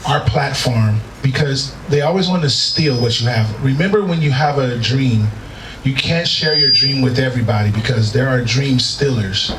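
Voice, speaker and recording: A man speaking earnestly as a panel guest, repeating words as he searches for them. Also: mid-range voice. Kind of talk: heartfelt panel remarks. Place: event venue in Tanzania. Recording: echoey room from far off with background noise.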